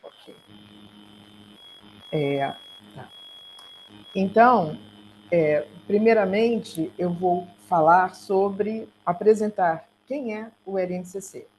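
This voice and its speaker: A woman's voice: mid-range voice, darkish tone. Brazilian woman presenting over a noisy call. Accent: Brazilian Portuguese. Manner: halting, self-correcting delivery. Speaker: research centre coordinator giving a talk. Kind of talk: slide presentation on an online call. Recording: noisy online call with hum.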